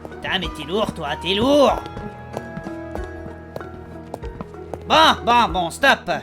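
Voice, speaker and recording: A man's voice: sits high, animated, unhurried, a bit bright, faint music behind. A man playing a crude, impatient, easily panicked adventurer who swears and hesitates in a comedic fantasy audio drama. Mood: wary, irritated.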